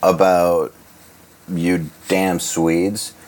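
A man's voice: low voice, level tone, extremely slow, darkish tone, slight background noise, low-quality recording. A man hosting a casual podcast chat, blunt and teasing, swearing freely. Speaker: podcast host.

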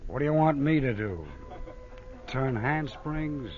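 A man's voice: medium pitch, takes it slowly, natural voice. An actor playing a glum, bitter, reluctant male accomplice in a vintage radio crime drama, grudging and sardonic. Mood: bitter, resigned. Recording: muffled old radio recording.

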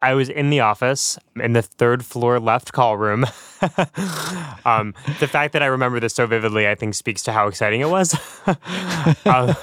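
A man's voice: mid-range voice, a bit bright, clear, resonant tone, no echo, clean studio sound. A man being interviewed, casually recalling events in a conversational way. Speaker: interview guest from a production team.

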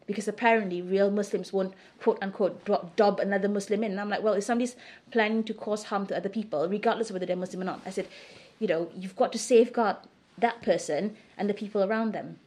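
A woman's voice: medium pitch, fairly even intonation, fast, darkish tone. A middle-aged woman from Singapore answering interview questions in a candid, emphatic, conversational way. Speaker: interview guest.

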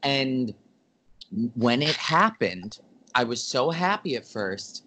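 A man with a slightly raspy voice, a guest on a podcast, chatting in an animated and gossipy way. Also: mid-range voice, slow pace, slight background noise. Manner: animated and gossipy, says "baby" and "babe". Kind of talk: casual chat.

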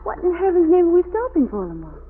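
A woman's voice: very high-pitched, huge pitch swings, quick pace, exaggerated voice. Casting: swooping, sardonic society lady, classic radio acting, hissy narrowband sound. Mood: exasperated. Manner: theatrical, sardonic, complaining. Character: elegant, exasperated society woman, affectionate companion. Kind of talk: 1940s radio drama acting. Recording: tinny noisy old radio recording.

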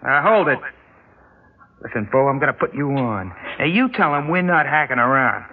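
A man's voice: medium pitch, animated, normal speed, close to an everyday voice. A man playing a cocky, cruel young gang leader in an old-time radio drama, taunting and bossy in slangy talk. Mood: bossy, menacing. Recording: tinny, noisy vintage radio recording.

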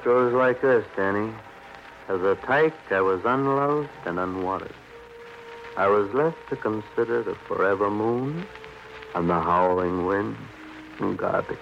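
A man's voice: on the low side, measured pace, vocal fry, slight background noise. A man playing a bitter, drunken young barfly in an old radio drama, rambling in a theatrical, sardonic, mockingly florid way. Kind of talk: 1950s radio drama acting. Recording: muffled old radio recording, faint music.

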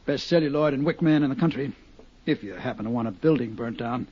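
An actor playing a brisk, confident male arson investigator in a 1950s radio drama. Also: mid-range voice, husky, close to an everyday voice. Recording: dull 1950s radio broadcast.

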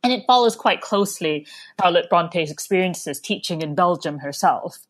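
A woman's voice: lowish voice, expressive, moderate pace. A woman from Malaysia, an author, casually recommending a book with many ums and restarts.

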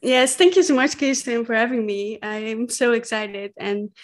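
A woman's voice: on the high side, normal speed. Dutch-accented young woman, giggly. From Netherlands. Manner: laughs often, many fillers and restarts. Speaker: guest, clothing brand founder. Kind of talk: casual interview chat.

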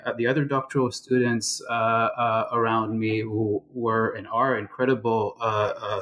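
A middle-aged man from Israel, a scholar answering interview questions slowly, with many fillers and restarts. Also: medium pitch. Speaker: guest scholar.

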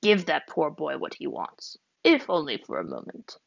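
A wise old uncle, as a woman puts him on, slow and low and gently reassuring. The sound is dry and close, with dull, lo-fi audio. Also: wildly swooping pitch, gravelly, no echo, exaggerated voice. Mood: calm.

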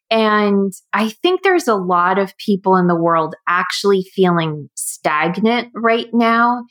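A woman hosting a podcast, speaking in a thoughtful, measured way. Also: mid-range voice, a bit bright. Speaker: podcast host, coach. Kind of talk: podcast intro and interview.

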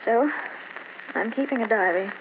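An actress playing a brooding, jealous wife in an old-time radio drama, tense and halting, with bitter melodrama. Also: female voice, a little high, lightly acted. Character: brooding, suspicious, jealous wife haunted by obsession. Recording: noisy, narrow-band old radio recording.

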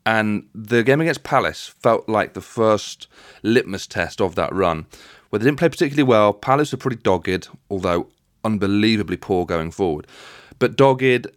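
A man's voice: lowish voice, expressive, moderate pace, dry recording, clean studio sound. A man hosting a casual football discussion, making emphatic points and repeating phrases to build them up. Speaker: football podcast host.

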